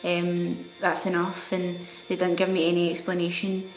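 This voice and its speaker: A young woman recounting her experiences in a video testimony, in a plain and even way. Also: fairly low pitch, moderate pace. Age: young adult. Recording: roomy, dull video soundtrack, faint music.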